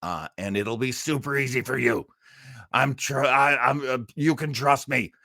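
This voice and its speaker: A man's voice: medium pitch, normal speed, pushed and bright, no room sound, clean studio sound. A man hosting an online show, chatting casually in a rambling, folksy, enthusiastic way as he signs off to viewers. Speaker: host of an online listening-party show. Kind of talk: casual livestream sign-off chat.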